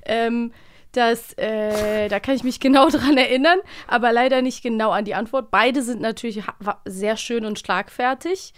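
Woman, podcast co-host, fairly high voice, playful casual talk, giggly, dry studio sound. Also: normal speed, clean tone, no room sound. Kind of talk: playful casual chat, quiz.

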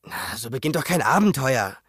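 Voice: mid-range voice, very animated, fairly quick, fairly bright tone, breathy edge, no room sound, studio quality. An actor voicing a cheeky, bossy young toy figure in a children's audio play. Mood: bossy, rousing.

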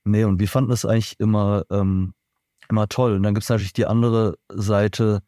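A man's voice: lowish voice, level tone, moderate pace, warm tone, no echo, clean studio sound. A man from Berlin, a musician being interviewed, answering in a thoughtful, rambling way with many fillers and restarts. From Germany, Berlin. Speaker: band member, musician guest. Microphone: remote podcast recording.

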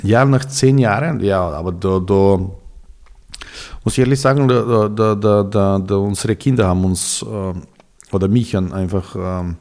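A man's voice: fairly low pitch, moderate pace, darkish tone. A middle-aged man with a Serbian accent, Serbian his first language, telling his story in a casual interview with many hesitations and restarts.

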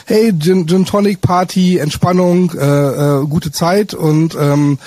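A middle-aged man chatting casually in a halting, hesitant way, often adding short tag questions. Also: on the high side, fairly even intonation, darkish tone. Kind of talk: casual chat.

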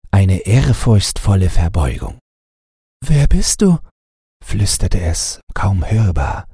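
A man narrating a fairy tale as an audiobook reader, with measured, expressive, dramatic delivery. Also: sits low, husky, dry recording, clean studio sound.

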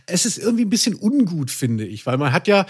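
A man's voice: sits high, big pitch swings, fast, husky. A man co-hosting a podcast, discussing audio plays casually in a wordy, hesitant way. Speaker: podcast co-host.

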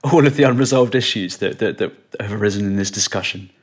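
A man works as a podcast interviewer, delivering prepared questions. His voice is fairly low. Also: quick pace.

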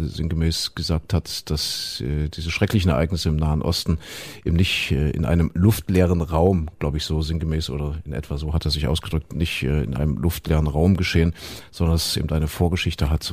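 A man co-hosting a podcast while he has a cold, talking earnestly in a casual discussion, often halting with fillers and repeated words. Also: rumbling low, darkish tone, dry recording. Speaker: podcast co-host.

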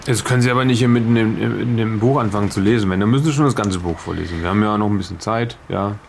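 A chatty traveller played by a man with a low, distinctly creaky voice. He rambles through his account in a hesitant, conversational way, often checking that his listener follows. Faint vehicle noise runs behind him in this improvised audio play. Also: very fast, a little mellow. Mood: curious, insistent. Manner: rambling, hesitant, seeking agreement with little tag questions. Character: chatty, vague male traveller retelling a monster film.

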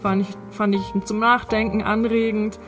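A woman's voice: mid-range voice, flat delivery, moderate pace, fairly bright tone, soft background music. A woman speaking casually as a podcast host, chatting to her listeners.

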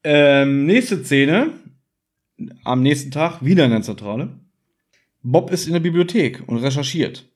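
Husky man chatting about an audio drama. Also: fairly high pitch, lively intonation, measured pace, long pauses. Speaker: podcast host. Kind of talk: casual chat recapping an audio drama.

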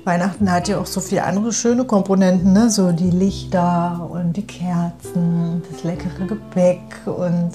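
A woman's voice: lowish voice, little pitch movement, a little slow, few pauses, dark tone, faint music behind. A woman in a casual, spontaneous chat, thinking aloud as she goes and laughing easily. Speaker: conversation partner in a private audio piece.

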